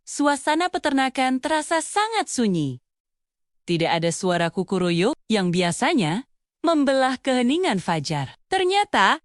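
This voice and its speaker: A woman's voice: fairly high pitch, dry recording, clean studio sound. A woman narrating a scripted children's fable as a storyteller.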